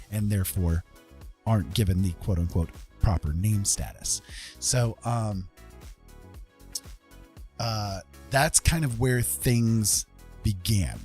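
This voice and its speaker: A man hosting a casual livestream, chatting and explaining things in halting, carefully pieced-together phrases. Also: on the low side, a little mellow. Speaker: art livestream host.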